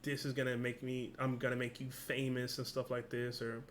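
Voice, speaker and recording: A man hosting a reaction video, talking casually and excitedly, rambling when worked up. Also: mid-range voice, a little fast, slight background noise.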